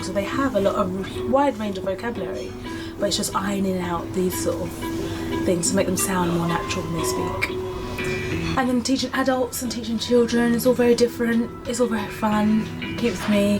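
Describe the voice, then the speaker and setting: Brief: young woman, animated storyteller, middle pitch, music under the voice. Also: rough recording. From Britain. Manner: chatty, animated, acts out quoted voices. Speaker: business owner and English teacher. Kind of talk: casual sit-down interview.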